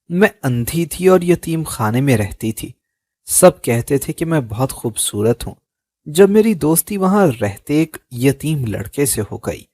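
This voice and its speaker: A man reads out a heartfelt first-person tale at an unhurried, deliberate pace. His voice sits at an ordinary middle pitch. The sound is close and dry, with no room around it. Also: darkish tone, no echo. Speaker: storyteller. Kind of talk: slow first-person story narration.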